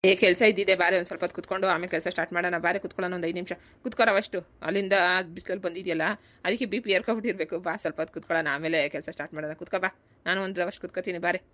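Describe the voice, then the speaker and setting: A woman voicing a quick-tempered village farmer husband who bickers and coaxes in a family cartoon, with a rural southern Karnataka accent. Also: lowish voice, fairly even intonation, fairly bright tone, natural voice. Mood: coaxing, apologetic. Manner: bickering, colloquial, nagging questions.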